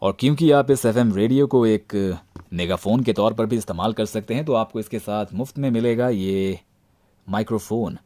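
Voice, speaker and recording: Low-pitched deadpan Indian man reviewing gadgets. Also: normal speed, no echo. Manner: deadpan, tongue-in-cheek. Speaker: product reviewer, presenter.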